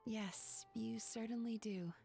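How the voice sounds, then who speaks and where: An actress playing a gentle young female therapist in a video game, speaking in a measured, reassuring, clinical manner. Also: on the high side, fairly even intonation, gravelly, breathy edge, soft background music, heavily acted. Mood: concerned. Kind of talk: video game character acting.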